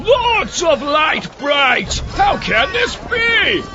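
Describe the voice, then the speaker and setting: Shrill, excitable medieval merchant. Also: male voice, extremely slow, over a noisy crowd, heavy background noise, full character voice. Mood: grandiose, excited. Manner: mock-archaic, grandly declaiming. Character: excitable medieval goat merchant, awed and grandiose. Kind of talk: comedic audio drama acting. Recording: muffled lo-fi audio drama recording. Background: loud music.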